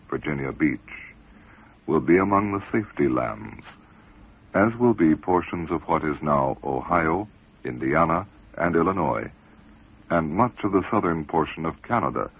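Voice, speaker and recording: A man reading prophetic text in a solemn, measured cadence. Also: very deep voice, lively intonation, breathy edge. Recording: narrow lo-fi radio broadcast.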